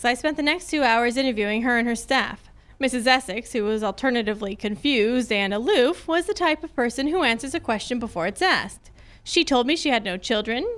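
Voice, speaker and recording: Sassy high-voiced woman detective, acted by a woman. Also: a bit bright. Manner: wry, brisk, teasing. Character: sassy female private detective, quick with wry comebacks. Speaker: amateur radio club performer. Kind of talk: old-time radio detective drama acting.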